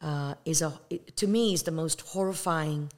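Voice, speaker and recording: A woman from Malaysia, an evangelist guest, speaking earnestly and reflectively about her faith in a conversational way. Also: deep voice. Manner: earnest and reflective, with pauses and fillers. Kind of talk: conversational testimony.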